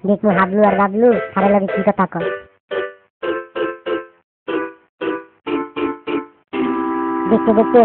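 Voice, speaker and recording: A man's voice: high voice, close to an everyday voice. A man voicing a cheeky, scheming young village boy in a cartoon, chatty and bragging, with a rural Bangladeshi accent. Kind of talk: cartoon dialogue. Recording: muffled lo-fi audio, music behind.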